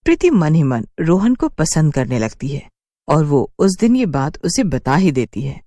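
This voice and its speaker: Expressive, lowish-voiced woman narrating a story. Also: moderate pace, no echo, studio quality. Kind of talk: scripted dramatic narration of a horror cartoon.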